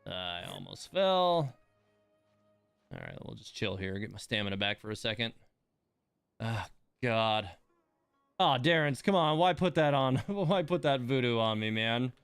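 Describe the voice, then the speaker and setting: A man's voice: mid-range voice, lively intonation, measured pace, creaky, long pauses, a bit bright, smooth, no echo, faint music behind. A man giving casual livestream game commentary, rambling and muttering to himself as he plays.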